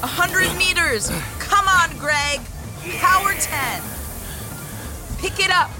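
An actress playing a firm, encouraging young female rowing coxswain in an audio drama, giving calls in a measured, commanding way. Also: extremely high pitch, lively intonation, a loud crowd, heavily acted. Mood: urging. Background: music.

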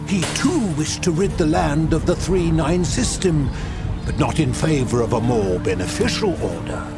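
Theatrical ancient warlock, sweeping pitch. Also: male voice, expressive, music in the background. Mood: grim. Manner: theatrical, portentous, deliberate. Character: ancient male warlock, grave mentor and storyteller. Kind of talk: dramatic audio drama storytelling.

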